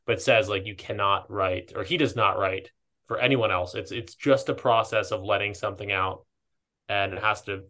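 A man with a fairly low, bright and forceful voice hosts a reflective podcast chat. He thinks aloud in a halting way, circling back to rephrase as he goes. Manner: halting, thoughtful, hedges and rephrases ideas. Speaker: podcast host. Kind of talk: casual reflective podcast conversation.

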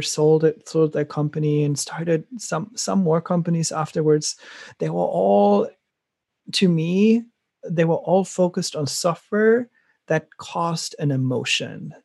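Man, German accent, middle-aged, gravelly, eager and rambling, dry close sound. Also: fairly high pitch, measured pace, darkish tone, no room sound. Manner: enthusiastic, rambling with restarts. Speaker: startup founder. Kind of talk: conversational interview.

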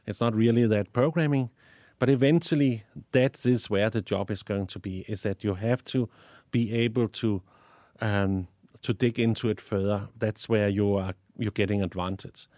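Man, danish accent, lowish voice, dry close mic, explanatory, repeats words. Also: fairly even intonation, moderate pace, darkish tone, no echo. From Denmark. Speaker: guest, STEM educator. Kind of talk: interview answers.

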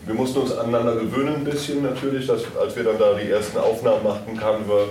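A man's voice: fairly low pitch, level tone, fast, darkish tone. A man speaking as a producer on a panel, explaining things in an informal, conversational way, recorded at a distance in an echoey room. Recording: echoey room, distant mic, faint noise.

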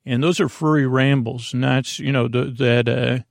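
A man with a creaky voice hosting a bedtime podcast, rambling haltingly through tangents in a soothing, lulling way. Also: mid-range voice, fairly even intonation, dry recording, clean studio sound. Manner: meandering, halting, full of tangents. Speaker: podcast host.

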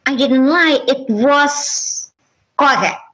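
A woman with an Indonesian accent, a native Indonesian speaker, giving personal testimony as an interview guest in halting English full of fillers and restarts. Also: high voice, fairly bright tone, dull audio.

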